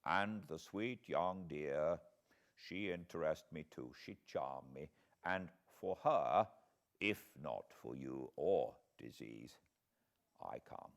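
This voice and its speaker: Slow, courtly old professor. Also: male voice, very deep voice, expressive, gravelly, voice pushed down, heavily acted. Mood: grave, thoughtful. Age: older. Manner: slow, deliberate, halting broken-English phrasing. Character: elderly courtly foreign professor, kindly, grave, wise. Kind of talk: audiobook character voice.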